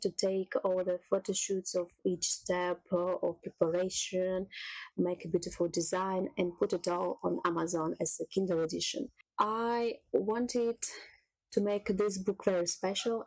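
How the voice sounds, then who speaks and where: Slow-talking woman. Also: medium pitch, no echo, poor audio. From Russia. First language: Russian. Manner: unhurried, chatty, laughs softly between thoughts. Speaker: cooking channel creator. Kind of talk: casual personal vlog monologue.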